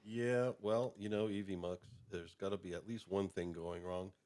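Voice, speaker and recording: A man co-hosting a casual live stream, informal and a little halting as he talks. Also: deep voice, fairly even intonation, a little mellow.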